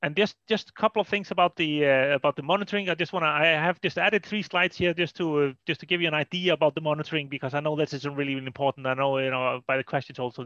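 A man's voice: a little high, a bit bright, no room sound. A man with an Icelandic accent giving an informal, explanatory technical presentation at an online meetup, often saying "you know". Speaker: presenter, data consultant. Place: indoors on a video call. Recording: dull lo-fi online call audio.

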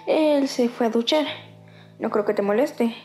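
A woman's voice: on the high side, a little slow, warm tone. A woman voicing cartoon country characters in a fan comic dub. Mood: polite. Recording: roomy echo under music.

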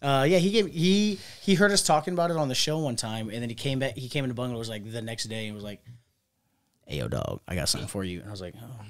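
A man's voice: medium pitch, fast. A man in his thirties co-hosting a casual bar-industry podcast, telling loose, profane stories in a small studio on a stand mic. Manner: loose, profane, animated storytelling. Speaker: podcast co-host. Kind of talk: casual chat. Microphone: studio mic on stand, headphones.

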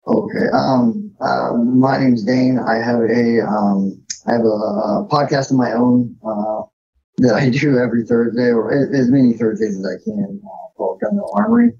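A man's voice: mid-range voice, normal speed, gravelly, dark tone, slightly rough. A laid-back, self-deprecating man talking casually as a podcast guest, on a dull lo-fi connection. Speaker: podcast guest, firearms author. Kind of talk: casual interview chat.

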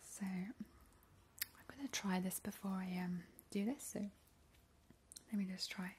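A woman playing a playful, ghoulish vampire in a whispered ASMR roleplay, breathy and slow, with soothing relish. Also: mid-range voice, close to an everyday voice. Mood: hushed, ghoulish. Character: playful, ghoulish immortal female vampire baking gruesome treats. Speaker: ASMR host in character. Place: home kitchen, indoors. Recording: noticeable background hiss, soft clicks.

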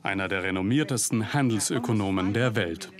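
A man's voice: fairly low pitch, fairly quick, crowd noise. A man reading a scripted investigative news report as a voice-over, with pointed, ironic emphasis. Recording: narration over loud music bed.

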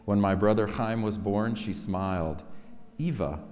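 Deep-voiced man solemnly reciting in a reverberant hall. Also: faint music behind. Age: middle-aged. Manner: measured, expressive, with pauses for weight. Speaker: poet reading own work. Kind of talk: solemn dramatic poetry recitation. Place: large synagogue sanctuary, at a lectern.

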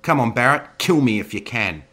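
A man's voice: fairly low pitch, a little fast, fairly bright tone, voice pushed up. A man voicing a ruthless female military captain who taunts everyone in the hall. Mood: taunting. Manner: taunting, cold.

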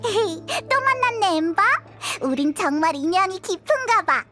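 A woman voicing a small, chirpy, bluntly curious fairy companion with a squeaky childlike voice in a video game. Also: very animated, a little slow, music in the background, full character voice. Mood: bright.

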